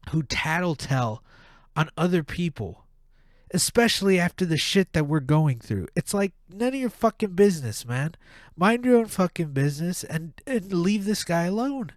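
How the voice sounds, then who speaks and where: A man's voice: sits high, lively intonation, a little slow, no echo, clean studio sound. A man with a stuffed-up nose, hosting a podcast and ranting with heavy profanity in a casual monologue. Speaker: podcast host.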